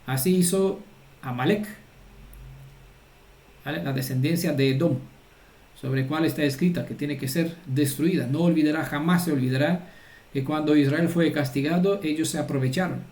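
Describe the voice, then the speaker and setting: A man's voice: fairly high pitch, normal speed, pauses often, darkish tone, reverberant. A man giving an informal, earnest religious teaching and often checking that his listeners follow. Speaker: Torah teacher.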